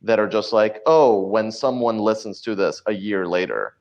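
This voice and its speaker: A man's voice: lowish voice, normal speed, darkish tone. A man explaining his work casually as an interview guest, in a self-deprecating way. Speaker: podcast guest, show writer.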